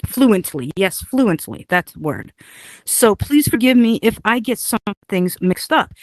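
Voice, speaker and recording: A woman's voice: mid-range voice, sing-song, unhurried, no room sound, low-quality recording. A woman whose first language is Hungarian, chatting casually about building a game, rambling with many fillers and laughs. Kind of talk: casual chat.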